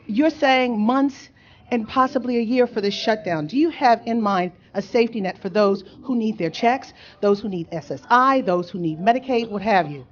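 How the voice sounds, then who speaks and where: A woman's voice: on the high side, normal speed, highs cut off. A woman reporter putting questions at a press conference.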